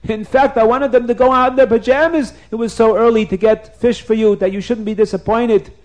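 A man's voice: very high voice, steady tone, brisk, a little mellow, pitched above the performer's own voice. A man voicing a frightened palace cook who stammers and pleads in a storybook tale. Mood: frightened, pleading.

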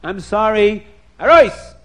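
A man voicing a quick-tempered young husband with a raised, indignant voice. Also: sits high, lively intonation, fairly bright tone, pitched above the performer's own voice, heavily acted. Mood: furious.

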